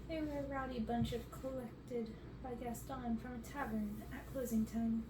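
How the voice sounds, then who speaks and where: A woman in her twenties reads a storybook aloud in a fairly high voice. She is recorded in a bedroom from a little way off, with roomy echo and clear noise. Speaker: ASMR reader. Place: bedroom, on a bed. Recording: roomy, noisy, distant mic.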